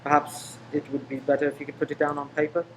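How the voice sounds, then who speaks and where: A man playing a polite, probing investigator in a radio play, speaking in a measured, official manner. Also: fairly high pitch, completely flat delivery, noisy background, close to an everyday voice.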